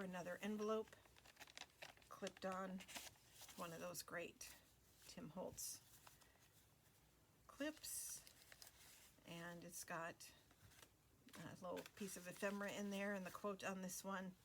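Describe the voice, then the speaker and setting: A woman hosting a craft video, talking casually through a flip-through with many fillers and pauses. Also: mid-range voice, fairly even intonation, noisy background.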